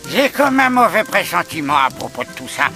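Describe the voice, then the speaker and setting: An anxious male cartoon animal, a born pessimist. He stammers and whines his way through every worry. Music plays clearly behind him. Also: medium pitch, big pitch swings, a little fast, pushed and bright. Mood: worried. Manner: fretful, stammering, whining. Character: anxious, pessimistic male animal called Doc, fretful worrier. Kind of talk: animated cartoon dub acting.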